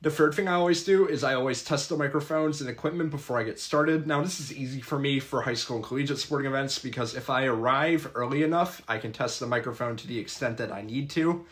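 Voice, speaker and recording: A man's voice: medium pitch, fast talker, few pauses, a bit bright. Earnest young man giving advice. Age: young adult. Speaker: public address announcer. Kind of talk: scripted advice vlog.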